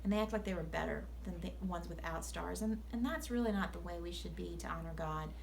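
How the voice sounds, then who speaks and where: A woman's voice: fairly low pitch, fast, gravelly, slight background noise. A woman teacher speaking to children at story time in an earnest, instructive way.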